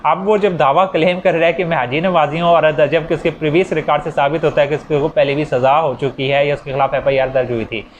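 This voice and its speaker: A Pakistani man with a somewhat high-pitched voice teaches in an informal lecture style. He explains step by step and poses rhetorical questions as he goes. There is light noise and ambience behind his voice. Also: steady tone, fast talker, few pauses. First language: Urdu. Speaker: law teacher. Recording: noisy recording with background ambience.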